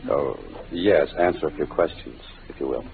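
An actor in a 1940s radio drama playing a confident, wisecracking detective with a dry, clipped delivery. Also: male voice, rumbling low, moderate pace, gravelly, slight background noise. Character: hard-boiled wisecracking male detective. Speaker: lead of a radio mystery. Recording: tinny old radio broadcast with music.

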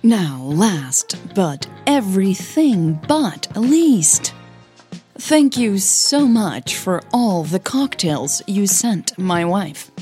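A woman's voice: mid-range voice, sing-song, drawn-out words, mellow, faint music behind. A woman writer narrating her own audio drama series and addressing listeners in a scripted podcast style.

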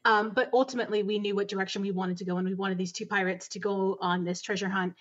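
A woman's voice: medium pitch, steady tone, quick pace. Woman answering interview questions, lots of ums, kinda dull muffled audio. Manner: earnest, laughs often, many ums. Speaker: podcast guest, audio drama producer.

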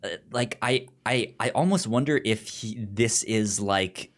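A man in a casual film discussion, talking haltingly and stammering over repeated words and syllables. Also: on the low side. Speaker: film podcast host.

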